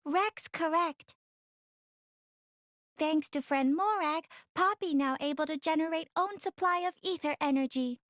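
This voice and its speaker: A woman's voice: high voice, measured pace, fairly bright tone, no echo, studio quality. A woman voicing a small, matter-of-fact robot girl in a game, using clipped third-person grammar. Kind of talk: voiced game cutscene acting.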